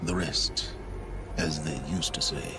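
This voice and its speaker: An actor playing a proud, calculating middle-aged scholar in exile in a video game, speaking in a measured, formal, precise way. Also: male voice, a bass voice, full character voice. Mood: measured, intrigued. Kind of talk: video game drama acting. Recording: music under the voice.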